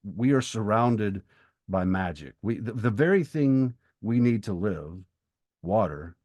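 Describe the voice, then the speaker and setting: A man co-hosting a podcast in casual literary discussion, speaking in a reflective, halting way with emphatic pauses. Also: lowish voice, animated, darkish tone, no echo. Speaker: podcast co-host.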